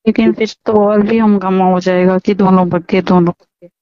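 A woman's voice: mid-range voice, steady tone, normal speed, mellow, dry recording. A woman talking casually in a private phone conversation, heard on a dull lo-fi call recording.

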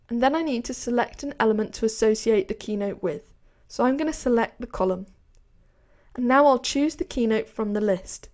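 Higher-pitched woman narrating a tutorial. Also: a little mellow. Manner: instructional, methodical step-by-step explaining. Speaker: software course instructor. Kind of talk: scripted tutorial narration over screen recording.

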